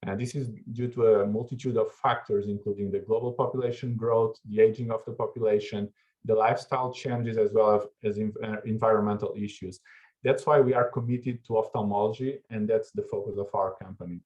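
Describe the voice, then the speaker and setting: A man from Portugal giving a keynote talk at an online conference, measured and full of fillers and restarts. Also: fairly low pitch, fairly even intonation, a little mellow. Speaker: keynote speaker. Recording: dull lo-fi video call audio.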